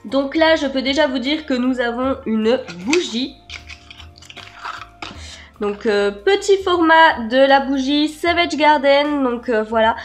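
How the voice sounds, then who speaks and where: A woman chatting casually as she presents an unboxing, slightly hoarse and stuffed up a cold. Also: high voice, animated, takes it slowly, soft background music. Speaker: beauty vlogger, unboxing host. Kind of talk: casual product unboxing chat.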